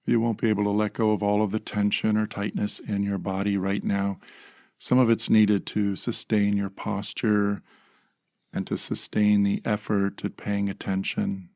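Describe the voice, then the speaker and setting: A man calmly leading a guided meditation in measured phrases with long pauses. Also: on the low side, gravelly, dry recording, studio quality.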